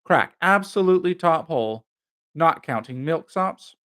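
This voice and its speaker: A pompous, self-satisfied schoolmaster acted out by a male narrator in an audiobook. He blusters in clipped old-fashioned slang, pitched above the reader's usual voice and eager to impress. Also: expressive, measured pace, a bit bright. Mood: boastful.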